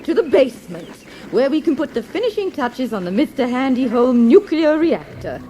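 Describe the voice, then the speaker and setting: A woman's voice: high voice, sing-song, moderate pace, music in the background. A woman playing a dutiful, unflappable wife who co-presents a how-to program in a radio comedy, prim and matter-of-fact. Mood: brisk. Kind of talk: scripted radio comedy parody of a how-to show.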